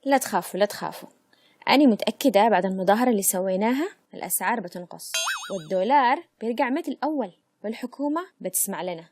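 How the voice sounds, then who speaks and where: A woman's voice: medium pitch, expressive, rapid-fire, a little mellow, natural voice. A woman playing a hopeful, insistent Yemeni activist rallying her neighbours in a comic cartoon, with an Adeni accent. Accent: Adeni Yemeni Arabic. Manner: rousing, insistent. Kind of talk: comic cartoon skit dialogue.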